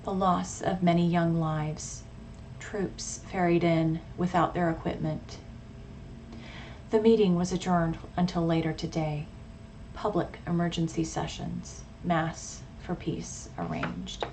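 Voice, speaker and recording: Woman, lowish voice, thoughtful hobby vlogger, bit of background noise. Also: fairly even intonation, takes it slowly, a little mellow, rough recording. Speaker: radio hobbyist vlogger and poet. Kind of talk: casual informative vlog talk with poetry reading. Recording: clearly audible background noise.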